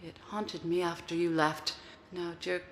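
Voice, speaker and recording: An actress playing a bitter, frightened prostitute in a film, scornful, reproachful and emotional. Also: female voice, on the low side, level tone, unhurried, a little hiss or hum. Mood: haunted. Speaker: actor. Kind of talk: dramatic film acting. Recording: echoey hall reverb, faint music.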